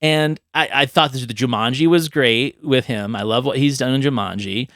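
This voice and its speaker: A man's voice: mid-range voice, moderate pace, fairly bright tone, no room sound, clean studio sound. A man hosting a podcast, chatting casually and enthusiastically in a rambling way. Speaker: podcast host. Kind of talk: casual chat about movie ideas.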